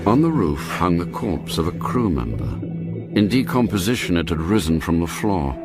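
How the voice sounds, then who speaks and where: An actor narrating a spy thriller radio drama as a grave storyteller. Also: male voice, low voice, sing-song, moderate pace, loud music behind the voice. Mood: measured, suspenseful.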